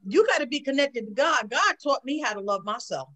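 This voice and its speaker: A woman in her sixties with a high voice, talking over a video call from home. She speaks emphatically, like a sermon, and keeps checking in with "okay?". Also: animated, fairly quick, pushed and bright. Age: 60s. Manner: preachy, emphatic, repeats "okay?". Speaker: guest. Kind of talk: casual chat on a video call. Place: home room.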